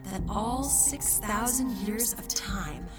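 An actress in audio fiction playing a self-important, earnestly mystical video host who narrates with solemn, portentous questions. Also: female voice, medium pitch, takes it slowly, loud music behind the voice, natural voice.

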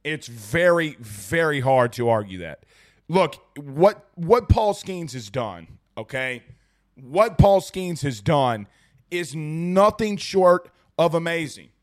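A young man hosting a post-game sports livestream, hoarse and losing his voice, excitable and rambling as he reads viewer messages. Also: a little high, slow pace. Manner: excitable, rambling, repeats himself. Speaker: sports talk show host. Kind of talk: excited post-game livestream chat reading super chats.

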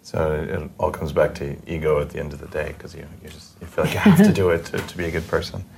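A man hosting a podcast interview, talking in an informal, earnest and slightly halting way. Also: extremely low pitch, expressive, gravelly, a little mellow, a little hiss or hum. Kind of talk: conversational interview.

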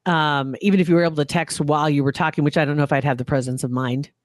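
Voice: medium pitch, steady tone, racing, dry recording, studio quality. A podcast co-host in casual chat, talking freely and laughing often.

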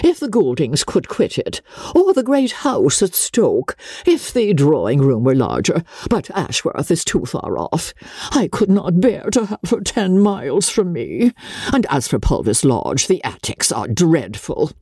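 A woman voicing a fussy, gushing, excitable middle-aged mother in a dramatic novel reading. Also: low voice, measured pace, husky, dry recording, clean studio sound, pitched above the performer's own voice, exaggerated voice. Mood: fussy, excited.

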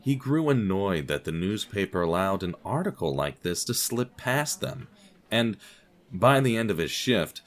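A man giving scripted story narration as narrator of an audio drama podcast. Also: fairly low pitch.

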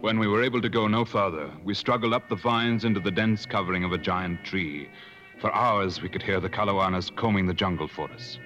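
Guy as grave adventurer narrating old radio play, lowish voice, music behind. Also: fairly bright tone, close to an everyday voice. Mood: solemn, reflective. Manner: measured, literary storytelling. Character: grave adventurer recounting a perilous expedition. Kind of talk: 1950s radio drama narration. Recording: muffled old radio broadcast recording. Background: dramatic music.

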